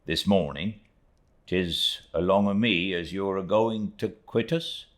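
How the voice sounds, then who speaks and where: A man's voice: deep voice, takes it slowly, voice pushed up. A man voicing a humble, devout old seafaring uncle in an audiobook, with a rustic East Anglian dialect, earnest and plain-spoken. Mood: deferential. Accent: rustic East Anglian English dialect. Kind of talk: audiobook character reading.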